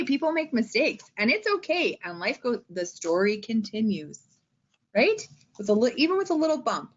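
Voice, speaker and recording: Animated, high-voiced woman teaching. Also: lo-fi sound. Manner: emphatic and theatrical, often checking in with "right?". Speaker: schoolteacher giving reading-aloud tips. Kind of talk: informal instructional talk.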